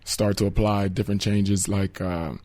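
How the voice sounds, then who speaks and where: Guy giving fitness tips on studio radio, lowish voice, pretty monotone, calm. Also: normal speed, dry recording. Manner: calm, matter-of-fact advice, many you-knows. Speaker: fitness guest. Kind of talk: radio talk show advice.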